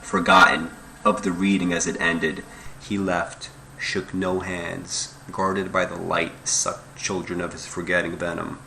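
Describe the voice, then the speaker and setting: A man recites poetry dramatically in a very low, forceful voice. He phrases it deliberately, landing short bursts with emphasis. There is noticeable noise in the recording. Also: level tone, vocal fry, rough recording. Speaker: poetry reader.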